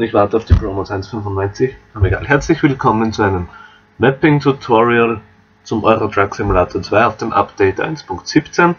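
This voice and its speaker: A man with an Austrian accent walking viewers through a game map editor, relaxed and explaining step by step. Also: mid-range voice, muffled recording, a little hiss or hum. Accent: Austrian German. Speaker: modding tutorial host. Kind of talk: screen-recorded software tutorial.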